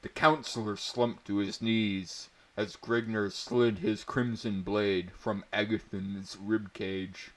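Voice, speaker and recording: Male narrator for pulp fantasy: low register, very unhurried, theatrical and mock-serious. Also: level tone. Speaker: story reader, narrator.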